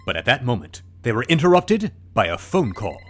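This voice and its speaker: A man playing a carefree documentary maker who dramatically narrates his own adventures in a comedy podcast, with a husky voice. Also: medium pitch, sing-song, fairly quick, fairly bright tone, soft background music. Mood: breezy, dramatic.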